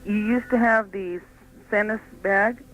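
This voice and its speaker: A woman with an American accent calls in to a radio show by telephone. Her pitch is medium, and she speaks in a plain, casual conversational way. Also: fairly even intonation, a little slow, a bit bright, lo-fi sound. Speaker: radio phone-in caller.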